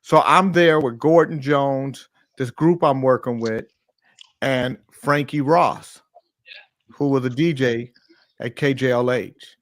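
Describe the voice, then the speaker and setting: A man hosting a morning talk show, telling a long, profane anecdote in a casual, animated way. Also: mid-range voice, slow, dry recording. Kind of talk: casual storytelling.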